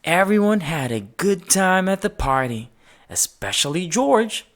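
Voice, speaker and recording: Low-voiced, expressive woman reading a storybook. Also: unhurried. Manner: expressive, dramatic emphasis, sound-effect words. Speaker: storybook reader. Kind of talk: read-aloud children's story narration.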